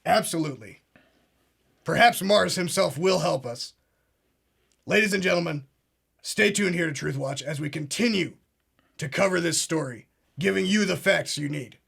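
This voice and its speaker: Un uomo che fa un conduttore complottista roco e pomposo. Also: male voice, a little high, moderate pace, vocal fry, long pauses, breathy edge, heavily acted. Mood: pompous. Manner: pompous, keeps saying "absolutely" and "ladies and gentlemen". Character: blustering conspiracy talk show host, male. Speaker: parody talk show host. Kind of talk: comedic parody show.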